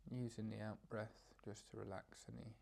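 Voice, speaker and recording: Mellow, gravelly man guiding a meditation. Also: fairly low pitch, level tone. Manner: hesitant, unscripted phrasing with gentle pauses. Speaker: meditation teacher. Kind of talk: guided meditation.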